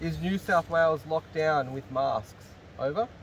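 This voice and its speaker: A man's voice: a little high, unhurried, recorded from a distance. An Australian man talking casually into a radio handset outdoors, picked up by a nearby camera. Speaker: camper making a radio phone call. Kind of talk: casual radio-phone conversation. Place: outdoors at a bush campsite. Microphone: camera mic a short distance away. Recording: noisy outdoor camera recording.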